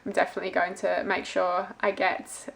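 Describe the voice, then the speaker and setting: A woman's voice: fairly low pitch, monotone, fairly quick, creaky, rough recording. A woman talking casually and conversationally as a book vlogger, in an easygoing, informal way. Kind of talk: casual chatty vlog about books.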